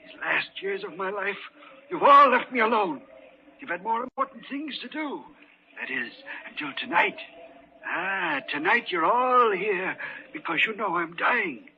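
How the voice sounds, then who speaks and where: A man's voice: high voice, sing-song, unhurried, gravelly, heavily acted. An actor playing a bitter, menacing old man on his deathbed in an old-time radio horror drama, scornful and domineering in manner. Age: older. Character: bitter, menacing dying old rich uncle. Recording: noisy, dull old radio recording.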